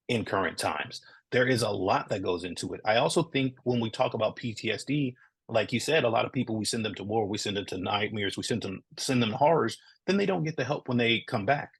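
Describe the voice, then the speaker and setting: Man, husky voice, mid pitch, earnest, thoughtful, casual interview guest. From Southern California. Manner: thoughtful, earnest, repeats "right?" often. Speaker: comic creator, guest.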